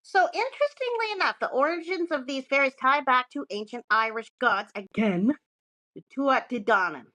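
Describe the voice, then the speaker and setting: A woman's voice: high-pitched, expressive, moderate pace, bright, forceful tone, dry recording, poor audio. A woman co-hosting a podcast, partly reading from notes and chatting casually, with sweary, self-mocking asides. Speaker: podcast co-host presenting research.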